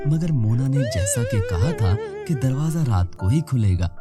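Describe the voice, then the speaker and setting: A woman's voice: a bass voice, wildly swooping pitch, measured pace, over loud music. A woman narrating a scripted children's moral story as the storyteller.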